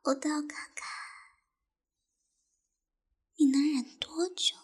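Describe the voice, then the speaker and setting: A woman's voice: sits high, steady tone, takes it slowly. A young woman voicing a cruel, taunting tormentor in an intimate audio roleplay, teasing and mocking, often sinking into a whisper. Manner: laughs softly between taunts.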